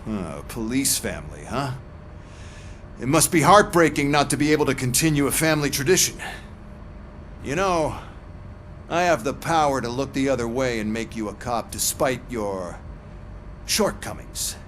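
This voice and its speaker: A man's voice: medium pitch, expressive, moderate pace, long pauses, fairly bright tone, lightly acted. Man acting smug manipulative superior, mid-range voice, sarcastic, talking down, faint background noise, video game. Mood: smug, coaxing. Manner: condescending, sardonic. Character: smug, manipulative male police boss.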